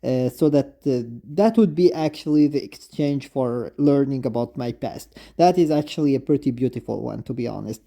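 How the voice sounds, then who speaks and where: Mellow, dark-toned man, non-native English speaker, gushing. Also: medium pitch, gravelly. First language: not English. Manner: effusive, halting, repetitive. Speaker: video game commentator. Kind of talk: unscripted fan commentary, reading lines aloud.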